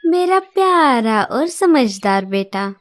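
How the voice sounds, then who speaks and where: A woman voicing a caring, cautious mother bird, gently instructing in a cartoon story. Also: fairly high pitch, big pitch swings, slow pace. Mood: fond.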